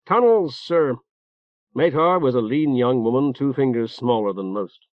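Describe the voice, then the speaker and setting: A man's voice: medium pitch, moderate pace, no echo. Man narrating a novel, dull tape sound. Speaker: audiobook narrator. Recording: dull, roomless cassette transfer.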